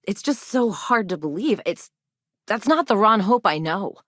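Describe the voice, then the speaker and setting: An actress playing an earnest, loyal company employee in a video game, sincere and hesitant, with emotional delivery. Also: female voice, medium pitch, animated, measured pace, a bit bright, no echo, studio quality. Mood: dismayed. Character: earnest, loyal company employee woman, soft-hearted and troubled. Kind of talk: video game character dialogue.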